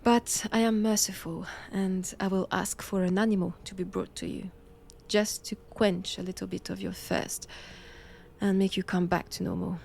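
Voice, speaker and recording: A woman with a French accent playing a cold, haughty nun in an intimate roleplay audio drama, speaking deliberately and often dropping toward a whisper. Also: mid-range voice, flat delivery, gravelly, darkish tone, a little hiss or hum, close to an everyday voice. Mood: haughty, composed. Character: cold, imperious nun examining a captive vampire.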